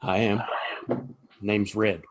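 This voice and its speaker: An actor playing a nervous, deferential small-town barkeep in a Western audio play, with a hesitant, stammering delivery and a husky voice. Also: male voice, fairly low pitch, level tone, takes it slowly. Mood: guarded, polite.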